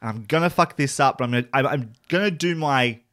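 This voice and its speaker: A man's voice: mid-range voice, fairly quick, a bit bright, no echo, studio quality. A man co-hosting a podcast in casual chat, swearing often and self-deprecating. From Australia. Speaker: podcast co-host.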